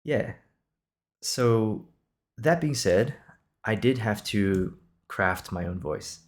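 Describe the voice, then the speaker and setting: A man's voice: fairly low pitch, animated, takes it slowly. A man in his twenties from Singapore hosting an improvised podcast, talking casually in a reassuring, encouraging, conversational way. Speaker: podcast host.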